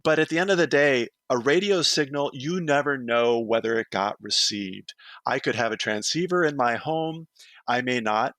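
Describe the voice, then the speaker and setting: A man giving an expert guest's measured explanations, often checking in with "right?". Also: medium pitch, a bit bright, no echo. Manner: measured, explanatory, tag questions. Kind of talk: interview answers.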